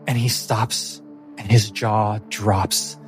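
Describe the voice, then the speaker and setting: A man narrating a tabletop fantasy story as game master, in deliberate, suspenseful fragments. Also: fairly low pitch, flat delivery. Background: background music.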